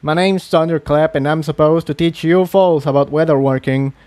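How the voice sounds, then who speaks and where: A man voicing a gruff, scornful male pegasus pony instructor in a fantasy story reading. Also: a little high, fairly even intonation, moderate pace, darkish tone, dry recording, pitched above the performer's own voice, lightly acted. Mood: irritated. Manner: curt, scornful. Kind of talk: fan fiction story reading.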